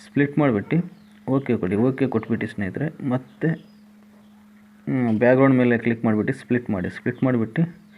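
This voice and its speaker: A man's voice: mid-range voice, a little fast, long pauses. A man giving a step-by-step app tutorial as a voice-over, repeating each instruction as he goes. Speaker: tutorial host. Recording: tinny phone-like band, faint noise.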